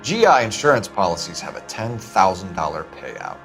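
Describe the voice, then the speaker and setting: A man's voice: low-pitched, big pitch swings, moderate pace, gravelly, a bit bright, faint music behind, exaggerated voice. A man playing a stern, accusatory 1940s police detective in a noir game, clipped, formal and pressing. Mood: accusing.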